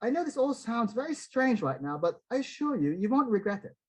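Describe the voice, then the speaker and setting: A man reading a story, voicing a secretive British rock star who speaks in hurried, insistent tones. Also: extremely high pitch, big pitch swings, darkish tone, slightly rough, voice pushed up, full character voice. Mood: coaxing.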